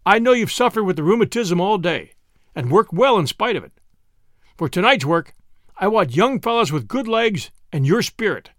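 Man doing a stern, brisk captain, loud, high lively voice. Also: dry recording, clean studio sound. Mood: commanding. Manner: brisk, commanding. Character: commanding male army captain, brisk and stern.

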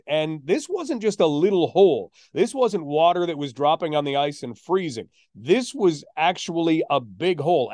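A man hosting a sports podcast, telling stories conversationally with a deliberate, emphatic build-up. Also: fairly high pitch, a little mellow, no echo, clean studio sound. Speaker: podcast host and sports announcer.

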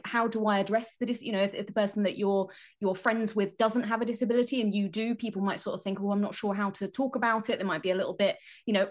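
Female talk-show guest, higher-pitched, animated, chatty advice-giving with rhetorical questions. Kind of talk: conversational radio discussion.

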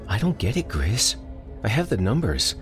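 A man's voice: on the low side, wildly swooping pitch, moderate pace, faint music behind, voice pushed up. A man voicing an earnest female fairy detective who sounds frustrated and pleading.

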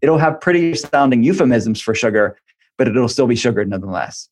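A man's voice: mid-range voice, fairly quick, a little mellow. A man speaking as an expert guest in an interview, explaining health advice informally and conversationally. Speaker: expert guest, functional medicine practitioner and author.

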